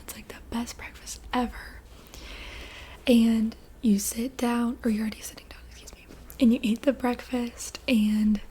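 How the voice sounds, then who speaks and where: Young woman whispering an asmr story, breathy, mellow, slow and easy. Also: on the high side. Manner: soft whisper, unhurried, casual fillers. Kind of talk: whispered ASMR roleplay narration.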